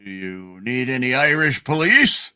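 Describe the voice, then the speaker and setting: A man playing a scheming, smug old banker in a hammed-up radio play reading, deliberate and menacing. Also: medium pitch, big pitch swings, sharp tone, clear, resonant tone, heavily acted.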